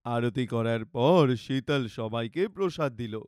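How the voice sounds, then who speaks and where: A man's voice: mid-range voice, measured pace, darkish tone, smooth, no echo, studio quality. A man narrating a scripted cartoon fairy tale as the storyteller.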